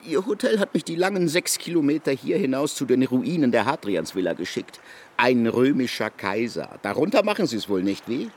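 An actor in a German radio drama playing a scornful criminologist, sarcastic and accusing in a theatrical way. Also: male voice, medium pitch, very animated, brisk. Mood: mocking, probing. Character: scornful male criminologist confronting a suspected murderer.

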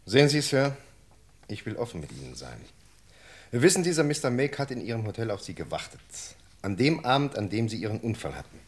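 A man's voice: lowish voice, very animated, a little fast. An actor playing an earnest British police sergeant in a 1960 German radio drama, formally polite and insistent as he questions a witness. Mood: earnest explaining.

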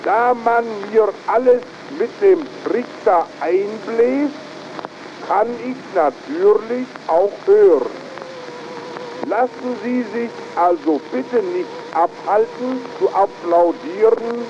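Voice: medium pitch, expressive, takes it slowly, dull audio. An actor playing a vain gramophone that addresses its audience in a stately, self-important declamation on a scratchy early record. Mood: pompous, vain. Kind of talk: early 1900s promotional record recitation.

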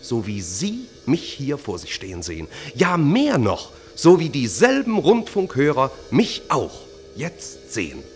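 Radio play magician: uncanny, self-assured, declamatory, extremely animated intonation, faint music behind. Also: male voice, medium pitch, measured pace, hardly any pauses. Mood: insistent. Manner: declamatory, hypnotic, insistent. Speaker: radio drama actor. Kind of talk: theatrical early German radio play acting.

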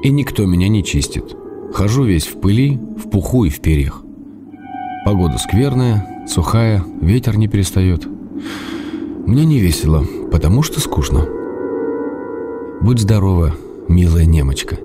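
An actor reading a writer's tender letters to his wife in a radio play, gently wry and unhurried. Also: sits low, lively intonation, music in the background.

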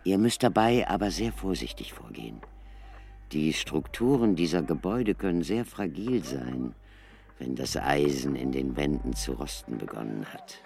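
Deep, creaky-voiced male scholar. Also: animated, normal speed, darkish tone, exaggerated voice. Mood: earnest, explaining. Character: deep-voiced scholarly male ruin researcher, earnest and cautious. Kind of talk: German radio play acting.

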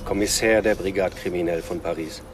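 An actor playing a calm, reserved police inspector in a radio drama, politely questioning in an understated way. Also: male voice, low-pitched, a little fast, soft background music, close to an everyday voice.

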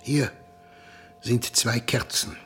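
A grave old café proprietor in a radio drama, formal and deliberate. His medium-pitched voice is courteous and subdued, with faint music behind. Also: fairly even intonation, husky. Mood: grave, resigned. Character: silver-bearded café owner, grave, courteous, saying farewell.

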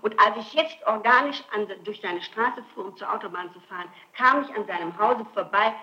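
A woman's voice: medium pitch, a little fast, sharp tone, muffled recording. A middle-aged woman under interrogation, answering with insistent, emphatic and repeated denials on an archival tape. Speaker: suspect being questioned by police and prosecutors. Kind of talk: interrogation testimony, spontaneous speech.

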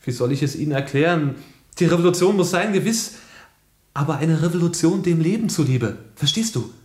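An actor playing a sensitive, idealistic young revolutionary in a German radio play, speaking earnestly and with passion. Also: male voice, on the high side, expressive, fairly quick, darkish tone, reverberant, close to an everyday voice. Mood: impassioned. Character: idealistic, sensitive young male revolutionary who loves life.

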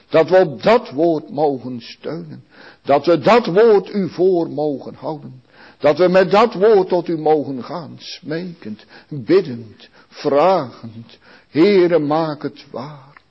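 Man praying out loud, slow and solemn, kinda husky, dull lo-fi sound. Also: on the high side. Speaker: praying minister. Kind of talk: public prayer.

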